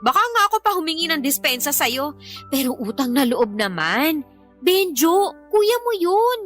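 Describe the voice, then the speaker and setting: A woman's voice: high voice, very animated, moderate pace, a bit bright, soft background music. An actress playing an irritated, defiant young mother in a radio drama, sarcastic and scolding, with a Filipino accent. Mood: indignant, sarcastic.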